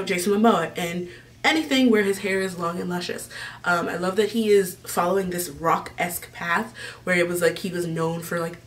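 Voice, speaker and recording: A woman's voice: lowish voice, fairly bright tone, far from the mic, a little hiss or hum. A young American woman chatting casually to camera as a vlog host, gushing and rambling as she goes. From Maryland, United States. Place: small decorated room indoors.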